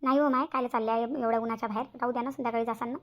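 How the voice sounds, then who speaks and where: Woman voicing a shrill-pitched, nagging village mother. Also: steady tone, rapid-fire, background noise, lightly acted. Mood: fussing, chiding. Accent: rural Maharashtrian Marathi. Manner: nagging, repetitive. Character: fussy, nagging middle-aged village mother. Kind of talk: cartoon comedy dialogue.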